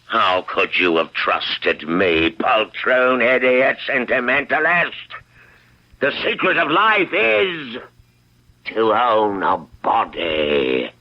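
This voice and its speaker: A man's voice: mid-range voice, sing-song, takes it slowly, highs cut off. A man playing an evil, gloating ghost of a long-dead swordsman in old-time radio horror, theatrical and sneering. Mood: gloating, scornful.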